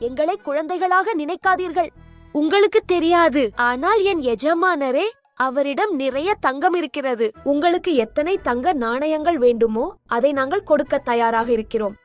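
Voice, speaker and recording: A woman's voice: high voice, moderate pace, soft background music, natural voice. A woman voicing a cheeky boy who acts as a boastful, loyal servant in a children's cartoon. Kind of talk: animated children's cartoon dub.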